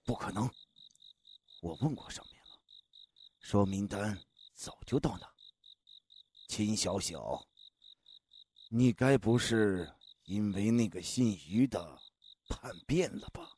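A man playing an aggressive, accusing spy official in a radio drama, raspy and forceful. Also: lowish voice, expressive, takes it slowly, pauses often, slight background noise. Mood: demanding. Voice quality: very raspy, husky. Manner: forceful, threatening. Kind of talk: Chinese radio drama acting.

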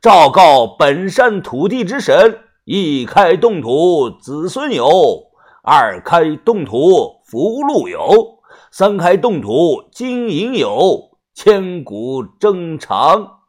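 A man voicing a commanding village feng shui master in an audio story, with bossy, ritual, drawn-out delivery. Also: fairly high pitch, very animated, full character voice. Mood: solemn, ritual chanting.

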